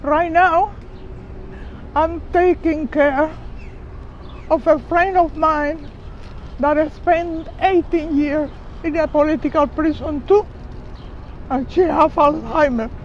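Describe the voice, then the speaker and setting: An older woman with a Cuban accent giving emphatic, deliberate public testimony in a speech at a press conference. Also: high-pitched, steady tone. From Cuba. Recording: dull outdoor recording with traffic noise.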